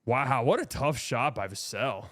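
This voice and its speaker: A man's voice: mid-range voice, expressive, a little slow, a bit bright. A man hosting a live watch-party stream, chatting casually and bluntly about the game and answering his chat.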